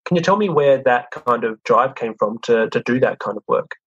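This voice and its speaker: A man's voice: mid-range voice, expressive, normal speed. A man interviewing a guest, asking friendly, rambling questions full of fillers. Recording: slightly muffled audio.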